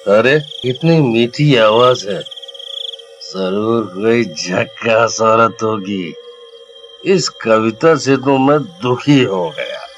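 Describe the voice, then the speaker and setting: Crude village husband for a cartoon tale, done by a man: dismissive, bossy, gruff, with music behind. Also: on the low side, drawn-out words, dull audio. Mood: lecherous, tipsy.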